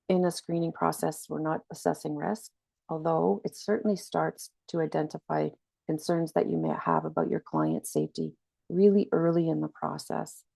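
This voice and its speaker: Woman with a cold, deep gravelly voice. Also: warm tone, dry recording. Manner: earnest, explanatory, frequent restarts. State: has a cold, slightly scratchy. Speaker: researcher and webinar presenter. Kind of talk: webinar training presentation.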